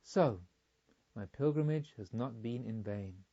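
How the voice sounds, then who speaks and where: A man's voice: mid-range voice, unhurried, warm tone, highs cut off, pitched below the performer's own voice, close to an everyday voice. A man voicing an earnest, pensive pilgrim newly reborn and full of wonder, in a storybook reading. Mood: reflective, realizing. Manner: musing, questioning, earnest. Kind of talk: audiobook character reading.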